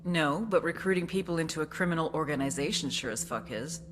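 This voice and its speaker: An actress playing a blunt, sardonic intelligence agent in a video game, wry and intimidating. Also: female voice, deep voice, animated, fast, a little hiss or hum. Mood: menacing. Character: tough, foul-mouthed, sardonic female intelligence agent. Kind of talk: video game briefing narration and interrogation.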